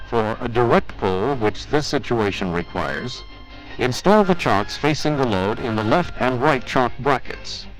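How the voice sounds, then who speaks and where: A man's voice: medium pitch, lively intonation, measured pace, poor audio. A man reading scripted instructional narration for a training film in a formal, methodical, step-by-step manner. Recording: 1980s training film soundtrack with music.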